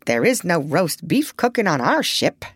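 A woman voicing a kindly, excitable man, a doctor, who exclaims and gives brisk orders. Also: lowish voice, husky, no room sound. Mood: puzzled.

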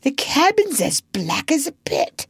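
A woman's voice: mid-range voice, sing-song, drawn-out words, a bit bright, no echo, studio quality, voice pushed up, heavily acted. A woman voicing a frightened male pirate in a storybook reading, hollow and gibbering with fear. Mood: gibbering terror. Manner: gibbering, fearful. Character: terrified Italian male pirate, haggard and gibbering. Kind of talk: storybook narration character voice.

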